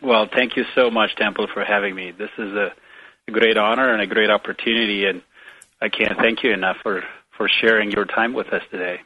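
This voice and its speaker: A middle-aged man with a Romanian accent and a very deep voice, talking slowly through his story with lots of fillers and restarts. He comes through a tinny, dry phone line with a narrow, thin sound. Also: fairly even intonation, no echo. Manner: hesitant, many fillers and restarts. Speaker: guest, foundation president. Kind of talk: casual interview conversation.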